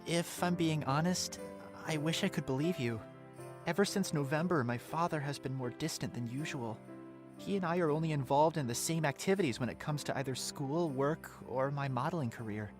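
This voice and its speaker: A man's voice: on the high side, moderate pace, music in the background, natural voice. A young man playing a dutiful, lonely teenage son in a fan audio drama, polite and earnest. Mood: downcast.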